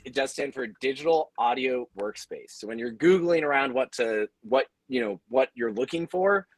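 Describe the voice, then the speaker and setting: A man with a medium-pitched voice, recorded dry with little room sound. He explains things conversationally as a panelist, casual and encouraging in how he puts them. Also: animated, moderate pace, dry recording, low-quality recording. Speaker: podcaster and audio creator, panelist.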